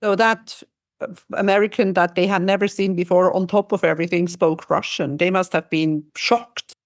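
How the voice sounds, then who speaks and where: A woman hosting a travel podcast interview, speaking in a halting way with frequent restarts. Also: lowish voice, steady tone, no room sound, clean studio sound. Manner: halting, restarts phrases often. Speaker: podcast host. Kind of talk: conversational interview.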